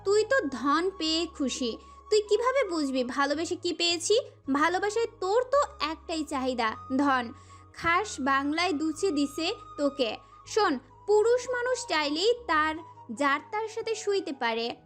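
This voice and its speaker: A woman's voice: very high-pitched, sing-song, unhurried, a bit bright, voice pushed up, full character voice. A woman voicing a furious, scornful young woman in an audio story, taunting her rival with biting, emphatic contempt. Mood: scornful rage. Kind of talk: dramatic audio story dialogue. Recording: music under the voice.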